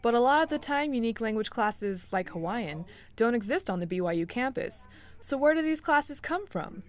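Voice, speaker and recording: A woman's voice: on the high side, expressive, normal speed, soft background music. A young adult woman reporting a student news story in a scripted, upbeat newsreader delivery.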